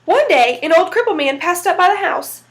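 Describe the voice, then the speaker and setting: A woman reads a folktale aloud with folksy, dramatic color. Her voice sits high. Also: expressive, normal speed. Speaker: storyteller. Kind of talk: read-aloud folktale narration.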